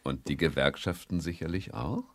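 An actor playing a self-righteous head of government in a radio play, speaking in a pompous, oratorical manner. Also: male voice, very deep voice, moderate pace, fairly bright tone. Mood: falsely modest. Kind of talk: German radio play political address.

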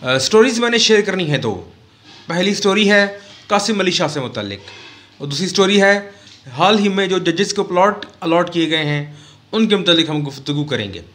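A middle-aged man from Pakistan giving a formal current-affairs commentary vlog at a desk mic in a small studio, pausing often with "uh". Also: high-pitched, expressive, fairly bright tone. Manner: measured, formal, with frequent "uh" pauses. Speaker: vlogger and news commentator. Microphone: desk mic on a stand close to the mouth.

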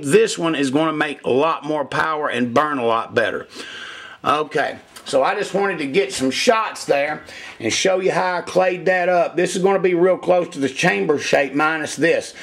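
A man with a gravelly, somewhat high voice talks through hands-on engine work at a workshop bench. He keeps it casual and plainspoken, the way a machinist explains his job in folksy shop talk. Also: a bit bright.

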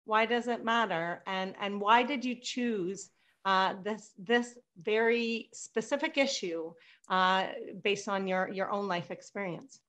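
A woman co-hosting a virtual town hall, introducing a guest informally in a halting, chatty way over a video call. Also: medium pitch, fairly bright tone. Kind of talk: informal spoken introduction and questioning.

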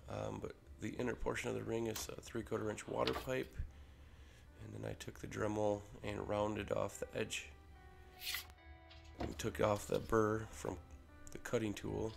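Low gravelly man with a head cold. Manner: relaxed, with fillers and restarts. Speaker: maker showing a ring build. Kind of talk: casual workshop tutorial voice-over. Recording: voice-over with clear music behind.

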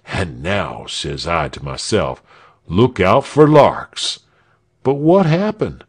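A man's voice: low-pitched, very animated, slow, full character voice. Man putting on a grumbling common soldier. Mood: indignant. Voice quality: rough dialect, dropped g's. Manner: grumbling, boastful. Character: gruff uneducated soldier in armor, grumbling.

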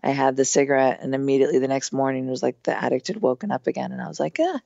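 A woman in her thirties with a hoarse, strained voice, chatting casually as a podcast guest with lots of fillers. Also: extremely low pitch, rapid-fire, warm tone, no room sound, highs cut off. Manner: chatty, rambling with many fillers. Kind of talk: casual conversation.

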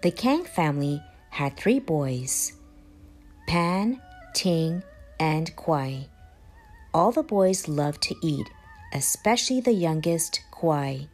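A woman with a lowish voice reads a children's storybook aloud. Her delivery is animated and swings widely in pitch, taken at an unhurried, measured pace. Music plays behind her. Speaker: children's book reader. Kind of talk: expressive storybook read-aloud. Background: background music.

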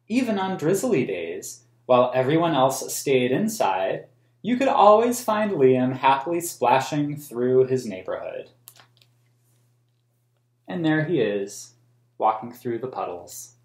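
American guy doing kids story time, takes it slow and gentle, echoey small room. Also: medium pitch, sing-song. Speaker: museum story time host. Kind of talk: reading a picture book aloud to children. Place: small plain room indoors. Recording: roomy indoor echo.

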